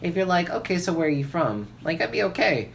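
A woman's voice: fairly low pitch, big pitch swings, fairly quick, a little hiss or hum. A woman chatting casually, with an opinionated, emphatic, freely swearing way of talking. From Puerto Rican family background. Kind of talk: casual banter.

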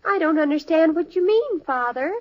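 An American actress playing an eager, innocent young daughter in a 1950s radio sitcom, earnest and childlike. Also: female voice, high voice, expressive, normal speed. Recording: dull old radio recording.

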